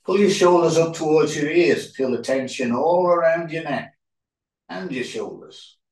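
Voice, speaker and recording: Guy teaching yoga relaxation, really slow and calm, sounds far from the mic in an echoey room. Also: on the high side. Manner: calm, unhurried, short phrases with pauses. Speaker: yoga instructor. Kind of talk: guided relaxation instruction. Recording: roomy echo, distant microphone.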